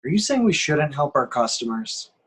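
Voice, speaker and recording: A man's voice: fairly high pitch, very animated, normal speed, a bit bright, slightly rough, heavily acted. A man playing an earnest, weary middle-aged father and insurance clerk in an amateur script read, a little hesitant. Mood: earnest, puzzled.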